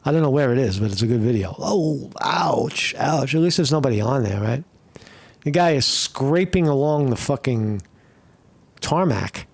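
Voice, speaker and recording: A man's voice: mid-range voice, lively intonation, moderate pace, creaky, no room sound. A man giving rambling, profane commentary while watching videos, full of fillers and restarts. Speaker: commentary streamer.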